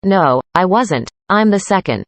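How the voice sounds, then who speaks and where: Woman, lower pitch, student part, scripted lesson, calm, dry. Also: unhurried, no room sound. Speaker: student in a dialogue. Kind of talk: scripted conversation reading.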